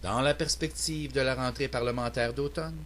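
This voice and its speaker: A man's voice: medium pitch, steady tone, moderate pace, fairly bright tone. Male citizen commentator, Québécois accent, mid-range voice, earnest and declamatory, amateur recording with a faint hum. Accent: Québécois French. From Quebec, Canada. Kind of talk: prepared political opinion monologue.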